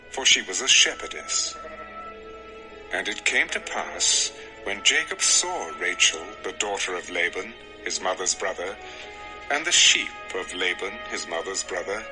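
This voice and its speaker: A man's voice: fairly low pitch, poor audio. A man narrating scripture from a script in a dramatic storytelling style.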